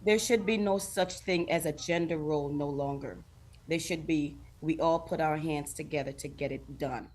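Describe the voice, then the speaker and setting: A woman's voice: sits low, moderate pace, darkish tone. A middle-aged woman from Guyana, a talk show guest who speaks earnestly, laughs often and acts out what other people say. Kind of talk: casual interview chat.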